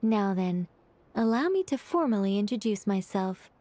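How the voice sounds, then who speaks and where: A woman playing a gentle female angel in an anime game, polite and formal but easily embarrassed. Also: mid-range voice, very animated, moderate pace, soft background music, natural voice. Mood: composed, formal.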